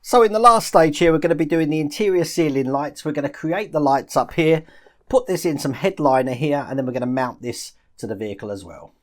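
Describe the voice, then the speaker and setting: A man's voice: a little high, brisk, faint music behind. A man presents a model-building tutorial, informally talking through each step as he works. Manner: informal, narrating actions as he works. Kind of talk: hands-on step-by-step instructional commentary.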